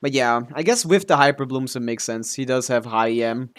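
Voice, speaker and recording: A man's voice: medium pitch, fairly quick, fairly bright tone, smooth. A man giving casual, offhand live stream commentary on a game, thinking aloud as he answers chat and swearing casually.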